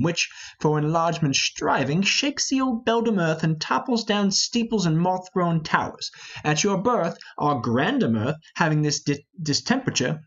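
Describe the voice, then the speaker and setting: Man reading as a scoffing, husky hot-headed young nobleman. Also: a little high, dull audio. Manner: scoffing, impatient, declamatory. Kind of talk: amateur Shakespearean play reading.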